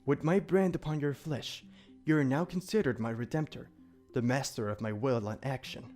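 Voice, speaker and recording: A man playing a calm, solemn immortal demon protector in an audio drama, speaking in formal, measured, archaic phrasing. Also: medium pitch, faint music behind, close to an everyday voice. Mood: courteous, devoted. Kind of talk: audio drama character acting.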